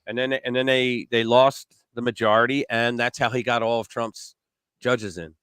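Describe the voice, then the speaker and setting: A man's voice: mid-range voice, steady tone, moderate pace, a bit bright, clean tone, no echo, studio quality. A man hosting a casual political call-in show, who rambles and repeats words as he builds his points.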